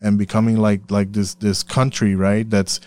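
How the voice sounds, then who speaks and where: A man's voice: fairly low pitch, flat delivery, moderate pace, a little mellow. A man from Puerto Rico in a casual, profane discussion, speaking emphatically and often circling back to repeat himself.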